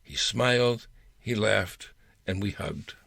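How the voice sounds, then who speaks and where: A man reads a personal anecdote aloud as a storyteller. His pitch moves in wide, animated swings, and there is a marked gravelly creak in the voice. The recording is dry and close. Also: slow, dry recording.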